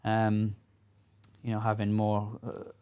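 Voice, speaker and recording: A man's voice: on the low side, completely flat delivery, takes it slowly, dark tone, clear, resonant tone. A middle-aged Scottish man talking casually as a podcast guest, earnest and frank with many fillers and restarts. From Scotland. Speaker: podcast guest, coach to construction workers. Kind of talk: casual interview chat.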